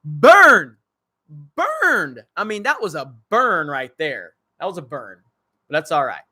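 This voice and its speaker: Bright, crackly-voiced male streamer. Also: high voice, huge pitch swings, takes it slowly, breathy edge. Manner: blunt, swears freely, loose and joking. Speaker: channel host. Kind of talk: casual livestream chat reading viewer comments.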